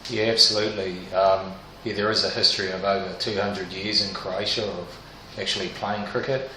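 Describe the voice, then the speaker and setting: A man's voice: low-pitched, fairly even intonation, normal speed, bright, forceful tone, a little hiss or hum. A man, a cricket player, speaking earnestly and proudly. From New Zealand. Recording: echoey room.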